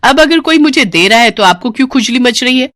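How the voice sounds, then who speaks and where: A woman voicing a sharp-tongued, defensive wife in a cartoon story, brazen and sarcastic with dramatic retorts. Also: high-pitched, animated, fairly quick, no echo, clean studio sound, voice pushed up. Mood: indignant, taunting. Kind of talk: Hindi cartoon story dialogue.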